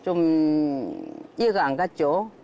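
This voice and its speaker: A woman giving an unscripted interview, recounting things in a halting, plain conversational way. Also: deep voice, animated, highs cut off. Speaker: patient interviewee.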